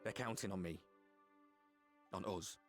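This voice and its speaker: A man's voice: low voice, measured pace. A man playing an earnest, plain-spoken young soldier in a video game, heartfelt and determined. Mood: earnest resolve. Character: earnest, self-doubting but determined young male soldier. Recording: loud music underneath. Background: orchestral game music.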